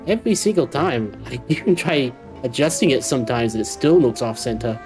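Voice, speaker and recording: Female game streamer, remarkably deep mellow voice, casual wry commentary. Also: expressive, fairly quick, soft background music.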